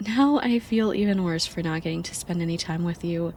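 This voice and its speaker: A young woman playing an affectionate, teasing friend in an intimate ASMR roleplay, speaking softly and expressively. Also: lowish voice, brisk, a little hiss or hum, lightly acted. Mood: surprised, playful. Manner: soft, playful, expressive.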